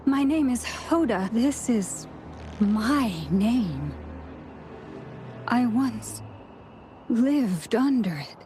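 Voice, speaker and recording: Halting, very slow, haunted female echo. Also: a little high, expressive, warm tone, a little hiss or hum. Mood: mournful. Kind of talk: scripted video game voice acting.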